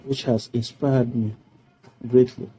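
A middle-aged man from Sierra Leone giving a formal testimonial about a project he completed, speaking in a slow, measured way on a video call. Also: medium pitch, flat delivery, warm tone, a little hiss or hum, rough recording. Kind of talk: prepared testimonial speech. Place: indoor room. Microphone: webcam on a video call.

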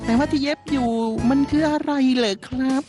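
A bashful, kindly young man in a cartoon, speaking gently and politely and stumbling over his words, with a woman playing him. The voice sits fairly high, and a clear music bed runs underneath. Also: fairly even intonation, brisk, close to an everyday voice. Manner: polite and hesitant, soft stammering. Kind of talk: Thai dub of a Japanese cartoon. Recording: music bed behind dialogue.

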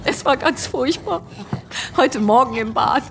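An actress playing a frantic, snippy, distraught pet owner in a comedic audio drama. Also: female voice, high voice, measured pace, creaky, background noise. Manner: agitated, snippy.

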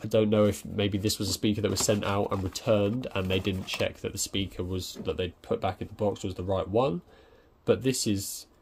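Low-voiced man unboxing gadgets. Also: steady tone, normal speed, gravelly, darkish tone, slight background noise. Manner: hesitant, lots of ums and restarts. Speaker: tech reviewer. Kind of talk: casual unboxing commentary. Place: indoors at a desk.

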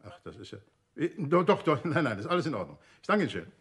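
Husky, composed gentleman detective. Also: on the high side, sing-song, fast talker, exaggerated voice. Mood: surprised, polite. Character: composed, courteous male amateur detective, probing and urbane. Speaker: actor in a detective radio drama. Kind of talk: vintage German radio play acting, conversational.

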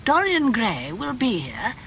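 A reader voicing an elderly aristocratic aunt who speaks slowly and gossips genteelly about family matters. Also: medium pitch, wildly swooping pitch, a bit bright, muffled recording, a little hiss or hum, voice pushed up, exaggerated voice. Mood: chatty. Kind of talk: audiobook character reading.

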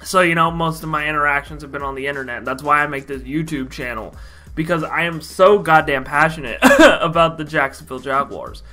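A young American man with a fairly high, bright voice tells a casual story to camera from a small bedroom. He speaks like an animated fan, slangy and emphatic. There is faint music in the recording. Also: a little fast. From Idaho, United States. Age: young adult. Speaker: YouTube vlogger.

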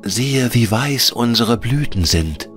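A man's voice: medium pitch, animated, slow pace, a bit bright, faint music behind, pitched above the performer's own voice. A man lilting as tender lily spirits. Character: soft-spoken lily spirits, pure and tender.

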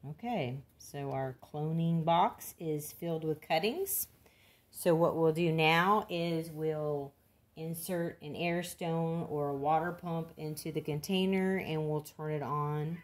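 Low-pitched older woman, folksy how-to. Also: slow. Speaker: gardening demonstrator. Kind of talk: casual how-to narration.

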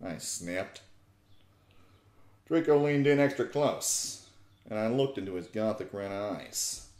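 A man in his twenties giving a theatrical, mock-serious reading of fan fiction, deliberate in his delivery. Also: on the low side, animated, darkish tone, roomy sound. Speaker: narrator, YouTube host. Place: small living room, indoors. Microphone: desktop USB microphone close by.

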